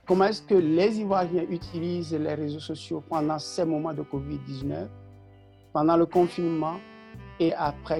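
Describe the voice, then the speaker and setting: A man, speaking formally and with measured delivery as he presents in a webinar. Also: fairly high pitch, gravelly, darkish tone. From Togo. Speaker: panelist, teacher and director of a media education organization.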